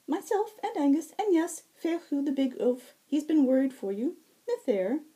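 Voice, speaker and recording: A woman's voice: sits high, very animated, moderate pace, warm tone, voice pushed up. A woman voicing a playful, teasing male fox fairy herald with courtly, flowery phrasing and a silvery tone, in a fantasy story reading. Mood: cheerful, explaining.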